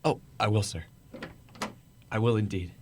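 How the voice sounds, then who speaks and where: A man's voice: on the low side, normal speed, slight background noise, close to an everyday voice. A man playing a meek, kindly poor clerk in a radio drama, timid, polite and eager to please.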